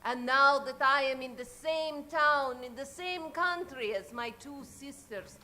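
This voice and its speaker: A woman's voice: sits high, unhurried, pushed and bright. A woman playing an alluring, sarcastic villainess who taunts and gloats in an improvised radio play. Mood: gloating, taunting. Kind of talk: improvised old-time radio acting.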